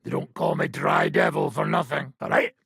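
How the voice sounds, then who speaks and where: A man voicing a gruff, sardonic middle-aged mercenary bandit in a video game, with a raspy, gravelly voice and a blunt, coarse, mocking manner. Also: a little high, steady tone, normal speed, a bit bright, dry recording, clean studio sound, exaggerated voice. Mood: sardonic, scheming.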